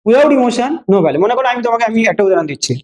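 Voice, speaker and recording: A man's voice: very high voice, lively intonation, rapid-fire. A man with a Bengali Indian English accent lecturing a class as a speaking teacher, emphatic, with rhetorical questions and repetition, switching between two languages.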